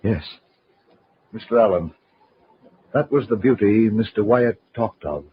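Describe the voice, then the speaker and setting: A man playing a troubled, halting gentleman in an old-time radio horror play. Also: fairly low pitch, lively intonation. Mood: awed. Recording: dull, noisy old radio recording.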